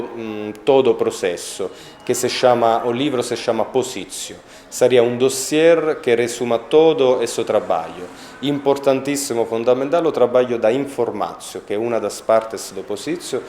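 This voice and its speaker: A man with an Italian accent, speaking a second language in a documentary interview, pausing often to find his words. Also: medium pitch, darkish tone, echoey room, slight background noise. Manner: halting, searching for words, many pauses. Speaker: interviewee on a sainthood cause.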